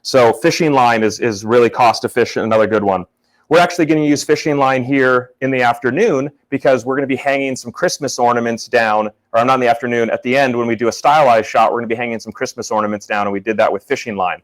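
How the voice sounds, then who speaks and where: A young man from Florida with a loud voice, teaching a casual live photography workshop and talking to viewers in the chat. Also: mid-range voice, quick pace. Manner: casual, upbeat, talks to chat. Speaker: photography instructor.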